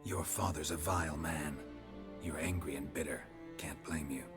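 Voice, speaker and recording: Game monster hunter: gruff, terse, deadpan man with an extremely low voice, music behind. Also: moderate pace. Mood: serious, measured. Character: gruff, laconic male monster hunter for hire.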